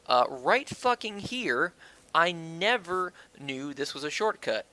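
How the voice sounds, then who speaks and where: A man giving casual, rambling Let's Play commentary, crude and profane with self-deprecating jokes and halting delivery, on a cheap microphone. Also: a little high, big pitch swings, pushed and bright, poor audio. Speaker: gaming video host. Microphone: worn-out stand-by microphone. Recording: cheap mic with wonky audio.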